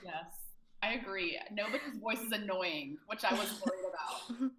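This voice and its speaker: A woman's voice: mid-range voice, normal speed, a loud crowd. A twenty-year-old woman, a podcast guest, chatting eagerly and casually with many filler words. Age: 20s. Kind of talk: casual chat.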